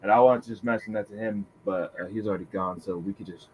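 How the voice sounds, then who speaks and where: A man's voice: fairly low pitch, steady tone, quick pace, a little hiss or hum, low-quality recording. A man on a livestream panel, making a point in a matter-of-fact way. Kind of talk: casual panel discussion.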